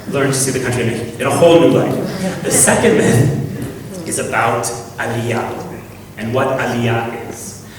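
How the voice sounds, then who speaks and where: A man from Canada, giving a measured, reflective panel talk with frequent ums and restarts. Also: medium pitch, noisy background. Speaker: panel speaker. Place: large auditorium. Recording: echoey hall, far from the mic.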